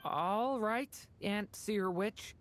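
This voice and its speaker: A woman's voice: medium pitch, extremely slow, a little hiss or hum. A woman playing an innocent, polite and curious little boy in a video game. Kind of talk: scripted game voice acting.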